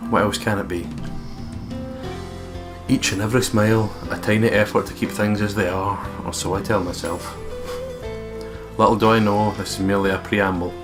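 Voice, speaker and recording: Man, low pitch, visual novel read-aloud, guitar music behind. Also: steady tone, moderate pace, pauses often. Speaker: let's play host. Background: game music with guitar.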